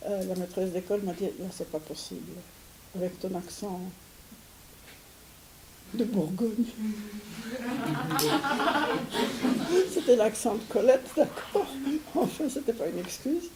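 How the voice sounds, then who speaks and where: Older woman from Syria, mellow and hesitant, faint hiss under the recording. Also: mid-range voice, slow, long pauses, poor audio. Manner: hesitant, with frequent restarts and soft laughs. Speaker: interviewee. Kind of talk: recorded interview.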